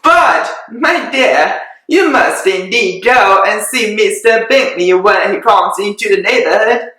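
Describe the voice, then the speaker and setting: A reader raises their voice to play an excitable middle-aged mother, fussy and fretful, pleading over and over in a storybook reading. The tone is bright and the pace slow, and the room rings with heavy echo. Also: medium pitch. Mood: urging. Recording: very echoey room.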